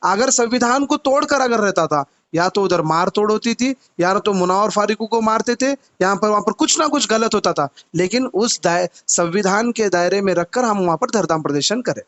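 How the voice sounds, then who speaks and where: A man's voice: high-pitched, lively intonation, moderate pace, few pauses, fairly bright tone, dull audio. A man with a Hyderabadi accent, a party activist arguing his case insistently and repeating himself in a phone interview.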